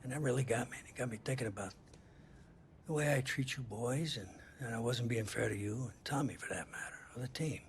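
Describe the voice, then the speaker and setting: Actor as gravelly, halting football coach. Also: male voice, medium pitch, slight background noise, lightly acted. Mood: halting, solemn. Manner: halting, deliberate, plain-spoken. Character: earnest, firm middle-aged male college football coach. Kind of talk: naturalistic video game drama acting.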